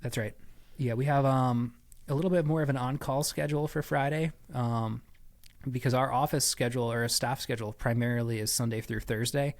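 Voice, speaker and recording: A man's voice: mid-range voice, steady tone, brisk. A man giving conversational interview answers as a podcast guest, explaining things with frequent asides and qualifications. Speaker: church staff member, podcast guest.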